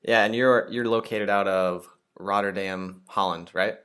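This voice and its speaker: A man's voice: on the low side, fairly even intonation, measured pace, a bit bright, clear, resonant tone. A man in his twenties hosting a podcast, chatting upbeat and casually with his guest and telling stories. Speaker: podcast host.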